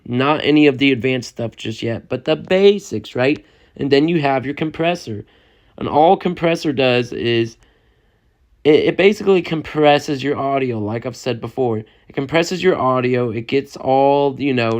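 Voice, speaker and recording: A young man, a music producer, giving a loose, chatty step-by-step software lesson and often checking in with the listener. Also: mid-range voice. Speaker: music producer teaching a tutorial. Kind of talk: casual step-by-step software tutorial.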